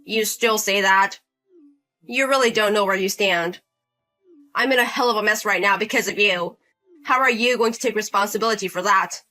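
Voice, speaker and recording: A woman's voice: mid-range voice, normal speed, sharp tone, soft background music, lightly acted, rough recording. A woman voicing an entitled, bullying older brother-in-law in his thirties who talks down to people and makes demands, in a voice-acted drama story. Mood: contemptuous. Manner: demanding, condescending, scolding.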